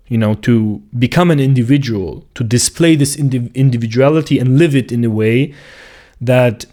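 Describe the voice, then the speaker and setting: A man, a clinical psychologist talking in a slow, reflective monologue and searching for words as he goes. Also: medium pitch, a little mellow. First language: German. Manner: thoughtful, searching for words with restarts.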